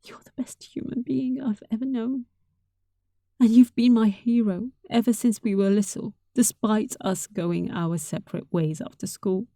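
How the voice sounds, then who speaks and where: A woman's voice: a little high, unhurried, no room sound. A woman playing a sweet, affectionate young catgirl roommate in an intimate roleplay, speaking tenderly and breathily, often close to a whisper. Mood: tender, heartfelt. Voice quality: soft, breathy. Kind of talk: intimate roleplay audio, softly acted monologue.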